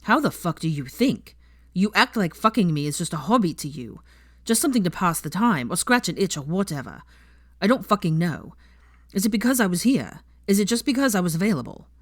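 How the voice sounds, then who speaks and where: A woman voicing an anguished, angry young man in a dramatic audiobook, forceful, bitter and pleading. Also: lowish voice, sing-song, a little fast, no echo, clean studio sound. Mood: furious. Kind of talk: dramatic audiobook character acting.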